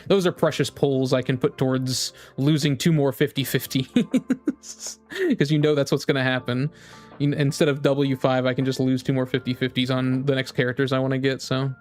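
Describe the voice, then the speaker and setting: Male game streamer, medium pitch, loose conversational delivery with repeated phrases, music behind. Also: fairly even intonation, moderate pace. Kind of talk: casual livestream chat.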